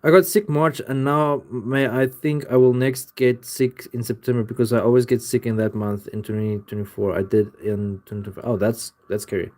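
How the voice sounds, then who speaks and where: A man's voice: mid-range voice, fairly even intonation, brisk, hardly any pauses, a little mellow. A young man, chatting loosely and profanely with viewers on a livestream from his home art studio. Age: young adult. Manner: rambling, loose and profane, with many "like" fillers. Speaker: livestreamer and artist. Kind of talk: casual livestream chat answering viewers.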